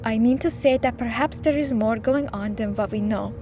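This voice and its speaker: Woman acting as a high-voiced, firm, reasoning mother. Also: noisy background, lightly acted. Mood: concerned, pleading. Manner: measured, formal phrasing. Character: patient, reasoning wife and mother who stands firm. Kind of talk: scripted audio drama acting.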